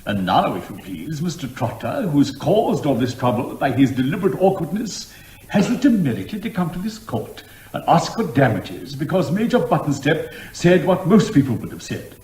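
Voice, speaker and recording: An actor playing an impatient, scathing judge in a British comic radio drama, with an English accent and a formal, curt manner. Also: male voice, on the high side, big pitch swings, darkish tone. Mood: scathing, deliberate. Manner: deliberate, formal, dry, curt. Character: brisk, impatient, dryly scathing male judge. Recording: roomy, echoing courtroom-like sound.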